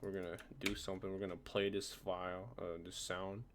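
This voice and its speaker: A man presenting a software tutorial informally, often checking that the listener is following along. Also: sits low, level tone, brisk, background noise. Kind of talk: casual screen-recorded software tutorial.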